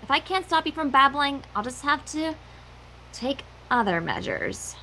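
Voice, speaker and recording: A woman's voice: sits high, big pitch swings, moderate pace, gravelly, pushed and bright, slight background noise, exaggerated voice, poor audio. A woman voicing a prim, motherly young unicorn pony with a politely scolding manner in a fan fiction reading. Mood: sternly scheming. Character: motherly young adult female unicorn pony, prim, embarrassed, mock-villainous.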